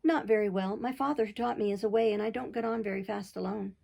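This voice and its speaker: A sweet, modest young governess from a storybook, acted by a woman reader. She speaks innocently and earnestly. The voice has a creaky, husky edge. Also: mid-range voice, quick pace, warm tone. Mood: bashful. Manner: bashful, innocent, hearty.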